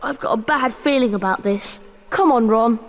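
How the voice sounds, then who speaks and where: A woman's voice: fairly high pitch, normal speed, big-room sound, slight background noise, close to an everyday voice. A woman playing a young, plucky but wary schoolboy wizard in a video game.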